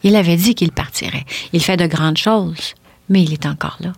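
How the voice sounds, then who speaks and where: Woman, radio guest, lowish voice, earnest, emphatic, repeats herself. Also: normal speed, vocal fry, slightly rough, no echo. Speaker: studio guest. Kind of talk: radio conversation.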